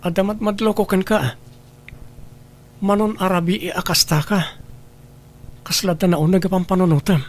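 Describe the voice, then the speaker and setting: A man's voice: high-pitched, fairly even intonation, moderate pace, pauses often, lightly acted. A man playing a caring, trusting husband in an Ilocano radio drama.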